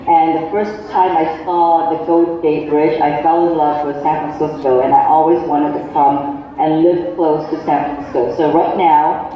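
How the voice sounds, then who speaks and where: Middle-aged woman author on an echoey call. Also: deep voice. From Malaysia. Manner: gentle, unhurried, pauses to search for words. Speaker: guest speaker and children's author. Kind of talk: answering students' questions. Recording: echoey, dull lo-fi call audio.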